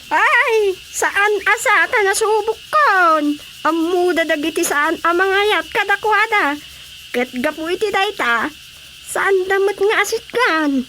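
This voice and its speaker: A woman's voice: extremely high pitch, expressive, slow pace, pushed and bright, slight background noise, full character voice. A woman playing a talkative, exclaiming older village gossip with an Ilocano accent in a radio drama comedy. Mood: gossipy, animated.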